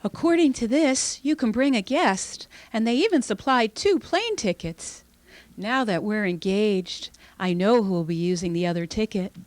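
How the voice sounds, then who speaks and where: A woman's voice: medium pitch, expressive, normal speed, no room sound, natural voice. A woman playing a sweet, engaged young fiancée in an old-time radio drama. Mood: pleased. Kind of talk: Golden Age radio drama acting.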